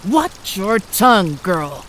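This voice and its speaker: Woman as a lively-voiced stern frontier mother. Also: medium pitch, takes it slowly. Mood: stern, scolding. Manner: scolding, folksy. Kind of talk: audio drama acting. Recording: rain and water noise behind.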